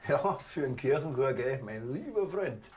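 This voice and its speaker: A man's voice: mid-range voice, lively intonation, normal speed, a little mellow, a little hiss or hum, natural voice. A man, playing a sardonic, laid-back village cop in a TV crime comedy who makes dry, mocking remarks.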